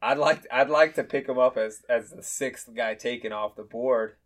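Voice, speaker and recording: A man's voice: medium pitch, normal speed. A man from the Chicago area co-hosting a sports podcast, blunt and opinionated, swearing and joking in casual chat. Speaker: podcast co-host.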